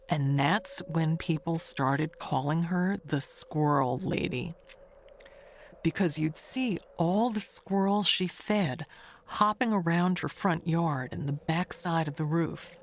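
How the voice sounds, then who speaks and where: Woman acting older female neighbor for audio mystery: unhurried, rambling, coy and wistful gossip; noticeable background noise. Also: fairly low pitch. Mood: confiding, gossipy. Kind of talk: dramatic monologue in an audio mystery tale.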